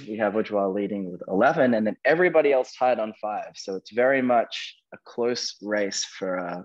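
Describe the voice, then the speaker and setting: A man's voice: lowish voice, lo-fi sound. A man hosting a quiz, reading questions and ruling on answers. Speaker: quizmaster, host.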